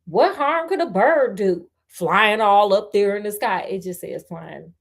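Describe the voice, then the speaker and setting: A woman's voice: medium pitch, expressive, unhurried, vocal fry. An American woman reading a storybook aloud as host, with sassy, conversational asides to her listeners. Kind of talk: storybook read-aloud with casual running commentary.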